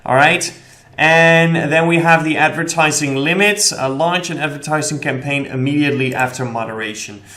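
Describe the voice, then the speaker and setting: Young man explaining software settings. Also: on the high side, normal speed. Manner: explanatory, steady, with frequent "okay" and "all right" check-ins. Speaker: affiliate marketing instructor. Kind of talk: screen-recorded tutorial walkthrough. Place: indoor room at a desk.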